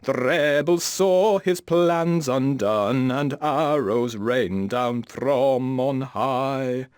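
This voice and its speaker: A man playing a theatrical bard in a game, delivering mocking verse in a drawn-out, dramatic way. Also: medium pitch, darkish tone, clean tone, dry recording, studio quality.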